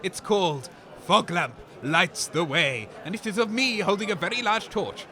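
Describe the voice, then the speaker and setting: Man playing a pompous, shrill, bright-voiced eccentric. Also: moderate pace. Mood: smug. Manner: pompous, grandiose, melodramatic. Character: pompous, scheming, melodramatic male eccentric radio co-host. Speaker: radio co-host. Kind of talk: comedic radio drama banter. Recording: music and faint crowd behind.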